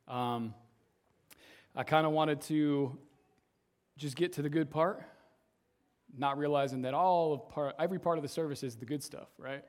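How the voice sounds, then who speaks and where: A young man with a somewhat high voice leads a church service as its youth pastor, preaching in a relaxed, conversational way. His voice is a little raspy from shouting earlier, and he keeps checking in with the congregation by tagging his points with "right?". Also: normal speed, pauses often. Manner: conversational, tags sentences with "right?". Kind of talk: casual sermon.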